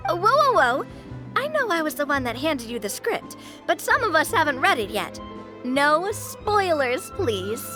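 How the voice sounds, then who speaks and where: A woman voicing a bubbly, eager young woman in a video game, excitable and playful with emphatic delivery. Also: high-pitched, unhurried, exaggerated voice. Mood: teasing, excited. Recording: music bed behind the voice.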